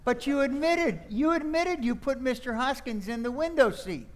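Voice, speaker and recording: A man's voice: extremely high pitch, moderate pace. A man playing a flustered, exasperated nephew in a radio play, agitated and incredulous. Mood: alarmed. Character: flustered, exasperated nephew of two batty aunts.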